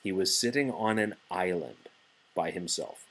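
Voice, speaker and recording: Deep, husky man reading a children's story. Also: measured pace, fairly bright tone, poor audio. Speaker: father reading aloud to child. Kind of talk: animated children's storybook narration.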